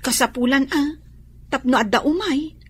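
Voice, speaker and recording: A woman acting a high-voiced, feisty Filipino employee. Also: moderate pace, natural voice. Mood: scheming, bold. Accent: Filipino, Ilocano. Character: feisty, scheming young computer-shop employee. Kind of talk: radio drama acting.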